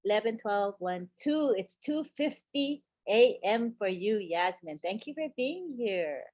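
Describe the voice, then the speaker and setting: An upbeat, encouraging woman hosts a group video call and stretches out each name as she introduces the next speaker. Her voice sits at an ordinary pitch and sounds dry and close, with very little room sound. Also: lively intonation, a little slow, darkish tone, no echo. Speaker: host of an online group call. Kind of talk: casual moderating on a video call.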